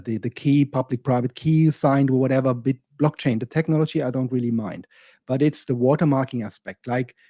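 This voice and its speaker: Guy co-hosting a podcast, dark husky voice, pushes his point, dry. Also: mid-range voice, no echo. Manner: argues a point, acts out quoted remarks. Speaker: podcast co-host. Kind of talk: casual discussion.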